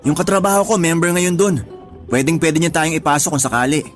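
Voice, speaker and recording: A man's voice: on the high side, fairly even intonation, fairly quick, faint music behind, lightly acted. A man with a Filipino accent acting a practical, teasing friend of twenty-seven in colloquial radio drama dialogue. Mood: casual, informative. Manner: colloquial, bantering. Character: 27-year-old male friend, teasing, practical, slightly older.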